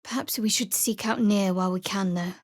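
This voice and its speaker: A woman voicing a guarded, secretive young cleric of a dark goddess in a fantasy video game, with a measured, dryly cool delivery. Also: mid-range voice, dry recording, studio quality, natural voice. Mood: thoughtful, dry. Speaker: video game character actor.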